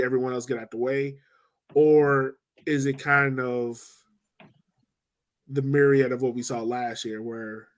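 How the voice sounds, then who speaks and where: A man hosting a casual sports podcast, often repeating words as he thinks aloud. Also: mid-range voice. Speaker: podcast host.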